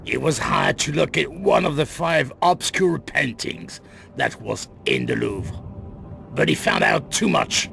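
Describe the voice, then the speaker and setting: An actor playing a grim, guarded middle-aged crime boss in a video game cut-scene, with a gravelly voice and grave, deliberate delivery. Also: male voice, mid-range voice, lively intonation, exaggerated voice. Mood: grave, ominous. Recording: loud music under the voice.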